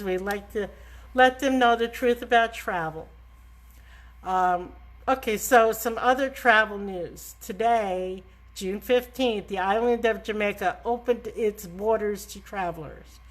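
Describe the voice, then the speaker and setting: A woman's voice: mid-range voice, a little slow. A middle-aged woman with a New York accent hosting a live travel talk, emphatic and scolding in a chatty way. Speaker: travel agent host.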